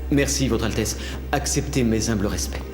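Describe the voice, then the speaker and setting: A man's voice: fairly low pitch, normal speed, echoey room, big-room sound, loud music behind the voice, close to an everyday voice. A man playing a lovestruck young prince in a dubbed cartoon fairy tale, speaking formally and deferentially, with ardent sincerity. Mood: resolute.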